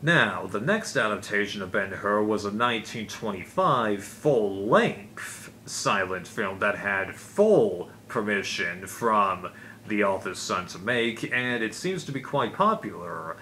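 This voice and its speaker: A man's voice: fairly low pitch, lively intonation, slow, bright, forceful tone. A man giving a casual, unscripted review as a video host, explaining things in a rambling way. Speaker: video host, DVD collector. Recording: clear background noise.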